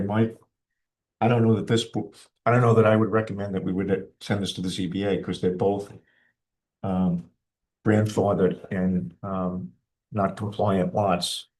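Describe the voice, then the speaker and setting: A man's voice: lowish voice, little pitch movement, moderate pace, darkish tone, rough recording. A man chairing a town board meeting in an informal, plain-spoken way.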